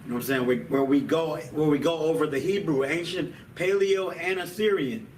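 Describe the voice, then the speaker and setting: A man's voice: on the high side, a little fast, a little mellow, reverberant, far from the mic, slight background noise. A man teaching a Hebrew language class in a casual style, often tacking on "man" and "you know what I'm saying.".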